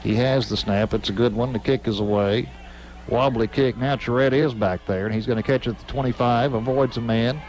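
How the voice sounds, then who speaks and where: Man, play-by-play announcer, clipped play calls, quickens on big gains, middle pitch, outdoor stadium. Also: steady tone, a little mellow, rough recording. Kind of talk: live football play-by-play commentary. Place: outdoor stadium press box.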